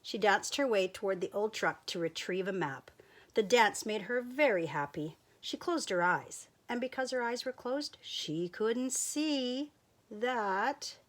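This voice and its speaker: A woman reading a children's picture book aloud, in a playful, expressive storyteller's voice. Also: medium pitch, a little slow. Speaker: storyteller teacher.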